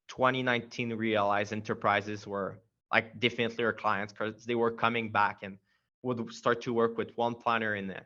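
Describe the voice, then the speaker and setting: A man's voice: on the low side, flat delivery, moderate pace, bright, forceful tone, highs cut off. A man from Quebec with a strong Quebec French accent, a startup founder telling his story in a casual interview, rambling with many fillers and restarts.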